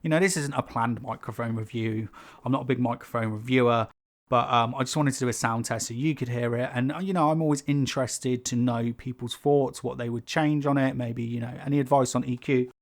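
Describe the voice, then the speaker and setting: A man's voice: mid-range voice, fairly even intonation, brisk. Chatty man, sibilant. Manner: rambling, chatty, many fillers and asides. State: rough throat. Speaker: YouTube creator. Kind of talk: casual unscripted talk to camera. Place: small carpeted home room with foam panels. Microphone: pencil condenser on a stand above the speaker.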